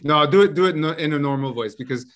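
A man's voice: fairly high pitch, racing, fairly bright tone. A man hosting a podcast interview, encouraging his guest with short agreeing replies. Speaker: podcast host.

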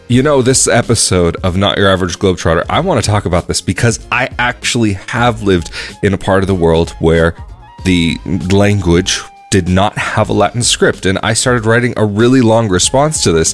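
A young adult man hosting a travel podcast, talking alone in a rambling, conversational way and thinking aloud as he goes. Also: lowish voice. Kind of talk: casual solo monologue.

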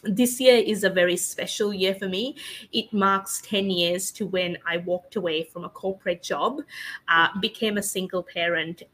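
A woman in her thirties, originally from Sri Lanka, answers interview questions as a podcast guest. Her voice is bright and forward, with an earnest and reflective manner. She talks in long, flowing sentences. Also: mid-range voice, steady tone, moderate pace. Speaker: podcast guest, publisher and speaker. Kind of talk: interview storytelling.

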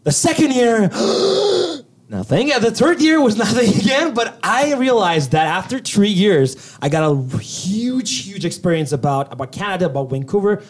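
Excitable young man with a Turkish accent. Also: sits high, normal speed. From Turkey. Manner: excitable, animated, laughs often. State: a little nervous. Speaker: guest. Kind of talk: casual interview chat.

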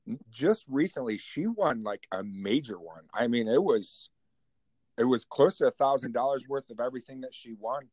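A man's voice: mid-range voice, lively intonation, normal speed, a little mellow, no room sound. Laid-back guy on a podcast, agrees a lot, dry sound. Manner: easygoing, agreeable, laughs along. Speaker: podcast guest. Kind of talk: casual podcast chat.

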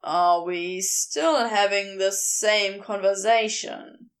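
A woman playing a blunt, sardonic male geologist with a gruff, terse, dry manner in an audio story. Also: medium pitch, steady tone, slow pace, voice pushed down. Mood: exasperated, emphatic.

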